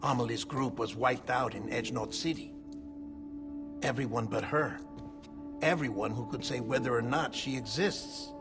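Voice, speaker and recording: An actor playing an earnest male scientist who explains things in a measured way. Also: medium pitch, over music, lightly acted.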